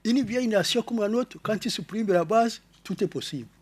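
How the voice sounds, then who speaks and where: A man from Rwanda with a Rwandan French accent, speaking to an audience in a halting, explanatory way, often repeating words and restarting. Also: sits high, breathy edge. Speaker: conference speaker. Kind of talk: lecture-style talk to an audience.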